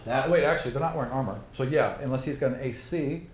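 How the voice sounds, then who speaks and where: A man running a tabletop game as game master, improvising informal, animated narration with spoken sound effects. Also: mid-range voice, fast talker. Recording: roomy echo, faint background noise.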